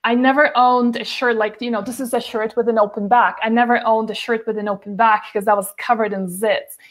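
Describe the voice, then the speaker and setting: A woman's voice: fairly high pitch, fairly even intonation, brisk. A woman in her forties, a guest on a video call interview, talking chattily with many fillers and restarts. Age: 40s. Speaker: guest, nutrition author. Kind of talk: casual interview talk. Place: home, by a window with plants behind.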